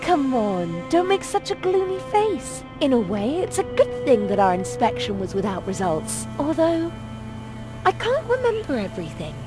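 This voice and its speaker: An actress playing an earnest, strong-willed young heroine in a video game cutscene, assertive and expressive. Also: female voice, sits high, normal speed, over music. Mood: tender.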